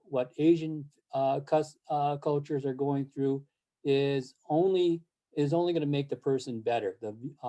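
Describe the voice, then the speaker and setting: An older man born in Japan and raised in the United States, speaking, answers interview questions over a video call in a halting way, with many fillers and restarts. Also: mid-range voice, mellow. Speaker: interview guest, film series board president.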